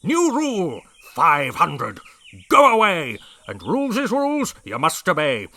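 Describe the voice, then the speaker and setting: A man's voice: on the high side, huge pitch swings, a little slow, sharp tone, no room sound, clean studio sound, pitched above the performer's own voice, heavily acted. A man voicing a blustering, hot-tempered old male dinosaur brigadier who bellows and roars his orders. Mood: bellowing angry. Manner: bellowing, roaring.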